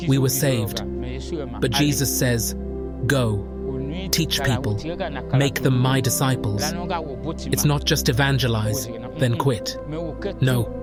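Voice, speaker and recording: A man giving a measured voice-over narration of a pastor's testimony. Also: medium pitch, over loud music.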